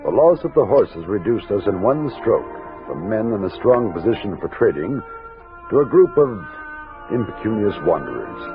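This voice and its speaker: Deep-voiced, animated American expedition captain. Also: male voice, moderate pace. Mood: measured, recounting. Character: expedition captain William Clark, commanding, narrating his journey. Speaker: lead actor and narrator. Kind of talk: 1960s radio drama narration and acting. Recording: narrow-band old radio recording, music behind.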